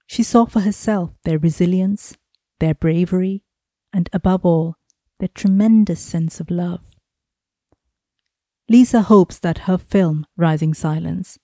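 Podcast host lady, earnest, lowish warm voice, dry close mic. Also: no echo. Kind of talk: scripted podcast intro and interview.

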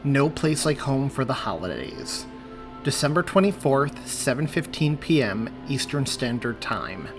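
A man's voice: mid-range voice, sing-song, unhurried, over music. A man hosting a podcast, reading aloud a chapter of a story he wrote, with friendly, apologetic asides. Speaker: podcast host and author reading his story. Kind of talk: audiobook narration.

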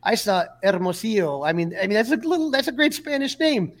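A man co-hosting a sports podcast, his voice sitting a little high for a man. He rambles through stories and game recaps in a loose, filler-heavy way. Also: very animated, fairly quick. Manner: storytelling, lots of fillers. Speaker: podcast co-host. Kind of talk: casual sports chat.

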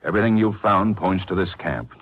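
An actor playing a middle-aged army major in an old-time radio drama, curt, haughty and disdainful. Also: male voice, low-pitched, brisk, lightly acted. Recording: tinny, noisy 1950s radio broadcast.

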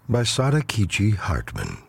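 A man giving a solemn, measured dramatic reading of poetry, often dropping into hushed, breathy phrase endings, as a poetry reader. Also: on the low side, wildly swooping pitch. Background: ambient sound design.

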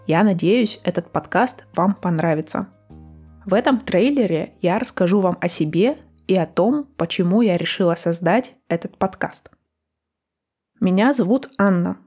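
A young woman from Russia hosting a podcast for learners, speaking calmly and clearly in a friendly, encouraging way. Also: on the low side, moderate pace, soft background music. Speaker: podcast host, Russian teacher. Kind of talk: slow, clear podcast talk for language learners.

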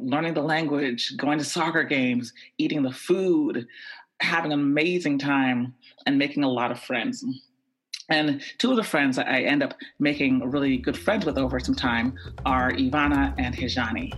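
A woman's voice: rumbling low, moderate pace, soft background music. A young woman telling a personal anecdote, animatedly voicing the people in it. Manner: lively, animated quoting of others. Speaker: storyteller. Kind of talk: personal anecdote told to an audience.

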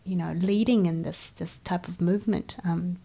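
Soft breathy woman with gravelly fry. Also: on the low side, fairly even intonation, moderate pace. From China. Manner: hesitant, many ums and restarts, soft breathy delivery. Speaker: interview guest. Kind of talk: casual interview conversation.

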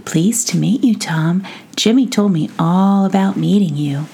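A woman's voice: mid-range voice, a little slow, creaky, mellow, breathy edge. A woman voicing a friendly, polite, hopeful young boy in a children's story, earnest and easygoing.

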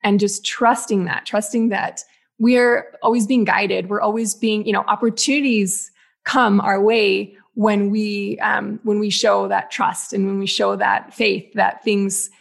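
A woman's voice: mid-range voice, fairly even intonation, unhurried, creaky. A woman in her thirties whose first language is Spanish, a guest on a podcast talking in a reflective way with many fillers. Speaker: guest, business mentor. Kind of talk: casual podcast conversation.

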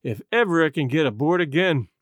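Male narrator playing young boy adventurer, earnest, direct, lively higher pitch, storybook dialogue. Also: brisk, no room sound, clean studio sound.

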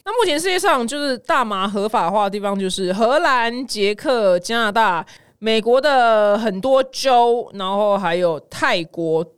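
A woman podcast host with a Taiwanese Mandarin accent and a very lively, swooping delivery. She chats casually and comically, leaning into dramatic exaggeration. The sound is dry and close, with no room to it. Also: fairly high pitch, a little slow, clear, resonant tone, dry recording. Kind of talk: casual comedic news chat.

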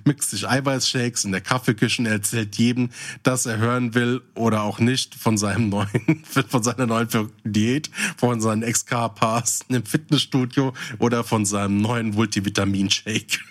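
A man's voice: mid-range voice, fairly even intonation, normal speed, few pauses. A man co-hosting a podcast, switching between casual chat and reading out short descriptions. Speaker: podcast co-host.

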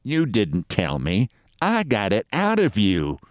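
A man reading a scolding sharp-tongued wife, sarcastic, lively pitch. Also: slow, no room sound, clean studio sound, heavily acted. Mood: scornful. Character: nagging sharp-tongued middle-aged wife.

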